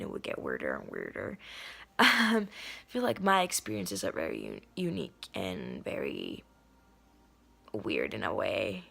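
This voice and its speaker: A young adult nonbinary vlogger talks casually to the camera at home. The voice is a little hoarse and raspy, and the talk is earnest, full of ums and likes. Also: medium pitch, bright, forceful tone. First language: Spanish. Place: indoors at home.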